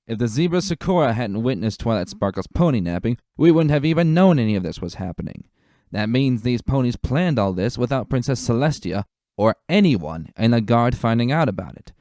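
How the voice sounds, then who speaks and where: A man voicing a gruff veteran pony lieutenant, commanding and emphatic, in a dramatic story reading. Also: mid-range voice, big pitch swings, a little fast, mellow, dry recording, clean studio sound, pitched above the performer's own voice. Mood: stern, warning.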